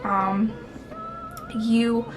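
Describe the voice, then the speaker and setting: A woman's voice: a little high, level tone, drawn-out words, faint music behind. A woman, chatting casually to the camera and giving tips in a rambling, giggly way. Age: 20s. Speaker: vlogger giving interview tips. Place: small indoor room at home.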